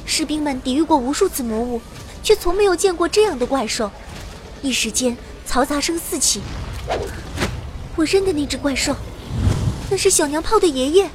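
High-voiced young storytelling heroine. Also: female voice, moderate pace, pauses often. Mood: dramatic. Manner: expressive storytelling. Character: young heroine narrating her own fantasy adventure. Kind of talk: scripted dramatic radio narration. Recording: noisy battle effects behind.

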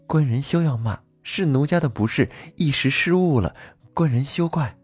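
A man voicing a gentle, coaxing young snake-spirit wife in traditional storytelling, soft and sweetly persuasive. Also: mid-range voice, animated, moderate pace, breathy edge, dry recording, soft background music, pitched above the performer's own voice. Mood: apologetic.

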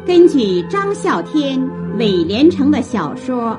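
A woman formally announcing a radio drama in a deliberate, scripted style. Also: high-pitched, very animated. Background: clear music.

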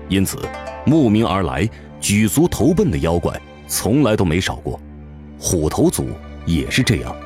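A man's voice: fairly low pitch, darkish tone. A man giving dramatic scripted narration of a fantasy audio novel. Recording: music behind the voice. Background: music.

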